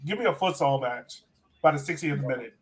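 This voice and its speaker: Higher-pitched American man hosting a podcast. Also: fairly quick. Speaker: podcast host. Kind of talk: casual sports podcast chat.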